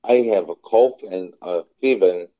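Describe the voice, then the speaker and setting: Brief: lower-voiced Korean-accented man, careful repetition, dull muffled phone line. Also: mellow. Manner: slow, careful repetition with small slips. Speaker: phone English practice partner. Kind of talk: repeating phrasebook sentences aloud. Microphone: phone call.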